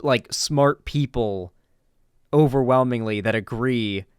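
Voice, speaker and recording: A man's voice: medium pitch, slow pace, smooth, no echo, studio quality. A man giving casual, unscripted political commentary in a blunt, emphatic way with casual swearing.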